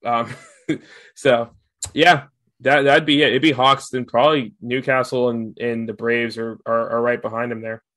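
A man's voice: medium pitch, flat delivery, measured pace, fairly bright tone. A young man, a guest on a sports podcast, chatting casually with many fillers and restarts. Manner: filler-heavy, lots of "like".

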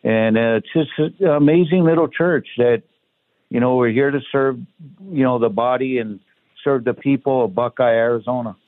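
A man from Hawaii with a Hawaiian pidgin accent, a guest talking casually and relaxed, with lots of "you know". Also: medium pitch, normal speed, dry recording. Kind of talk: casual interview talk. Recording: tinny phone line.

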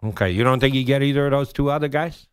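A man's voice: medium pitch, fast talker, smooth, no room sound, studio quality. A man hosting a casual sports radio interview, putting questions in blunt, informal phrasing.

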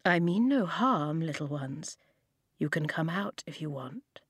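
A woman voicing a gentle, mysterious old man in a bear pelt who speaks low and soft. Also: animated, unhurried, no room sound, studio quality.

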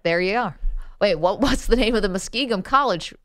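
A woman's voice: medium pitch, brisk, fairly bright tone, dry recording, studio quality. A woman hosting a sports radio show, chatting casually with callers.

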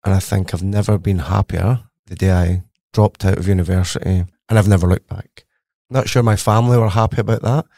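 A middle-aged Scottish man with a very low, mellow voice, talking as an interview guest. He tells his story with eager, conversational energy. The sound is close and dry. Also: no room sound. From Scotland. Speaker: guest scientist. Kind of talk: podcast interview.

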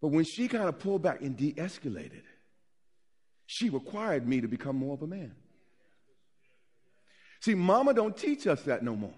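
Pastor, male, middle-aged; animated sermon delivery, slightly high voice with a wide swinging pitch. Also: moderate pace, long pauses, husky. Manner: animated preaching, swings from loud to soft.

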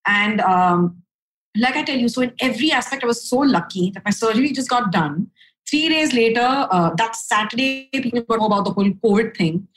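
Candid low-voiced woman guest. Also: lively intonation, brisk, a bit bright, poor audio. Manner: frank, upbeat, lots of fillers and "right?" tags. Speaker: podcast guest. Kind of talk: candid conversational storytelling.

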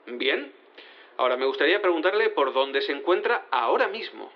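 A man's voice: on the high side, expressive, brisk, lo-fi sound, close to an everyday voice. An actor playing a formal, oddly literal male defence lawyer politely questioning a witness. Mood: formal, earnest.

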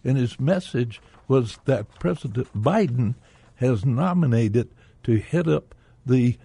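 A man's voice: mid-range voice, lively intonation, slow pace, vocal fry, warm tone, breathy edge, no echo. A man speaking as a guest on a radio talk show, telling a story at length. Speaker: guest, bank director. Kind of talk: radio interview.

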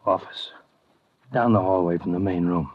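A man's voice: deep voice, normal speed, close to an everyday voice. An actor playing a nervous, reluctant nightclub doorman, hesitant and defensive, in a 1950s radio drama. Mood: reluctant, uneasy. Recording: dull, hissy 1950 radio recording.

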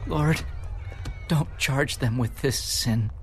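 A man's voice: mid-range voice, takes it slowly, background noise. An actor playing Stephen, a bold young male preacher who rebukes his hearers with rising passion, in a dramatic Bible reading. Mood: dying prayer. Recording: studio voice over music score.

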